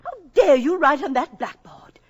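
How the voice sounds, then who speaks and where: An actress playing an indignant female secretary guarding a professor's study, sharp-toned, in 1950s radio drama style. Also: high-pitched, animated, moderate pace.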